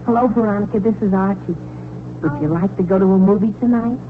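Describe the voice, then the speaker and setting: A man's voice: high-pitched, quick pace, close to an everyday voice. An actor playing an eager, wholesome teenage boy in 1940s radio comedy style. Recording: tinny, noisy 1940s radio broadcast.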